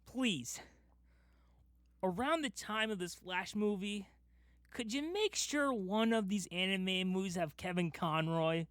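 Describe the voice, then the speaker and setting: Emphatic, higher-pitched man podcasting. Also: slow, fairly bright tone, smooth, dry recording, clean studio sound. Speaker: podcast host. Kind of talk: casual fan discussion.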